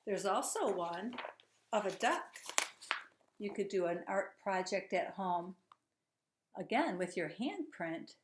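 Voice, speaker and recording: A woman teacher reading a children's storybook aloud, encouraging and putting questions to her young listeners. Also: medium pitch. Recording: echoey room.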